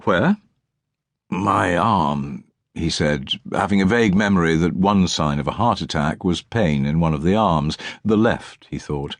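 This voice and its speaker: A man's voice: deep voice, moderate pace, few pauses, no room sound. Man narrating, dry close sound. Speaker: audiobook narrator. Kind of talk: scripted literary narration of a crime novel.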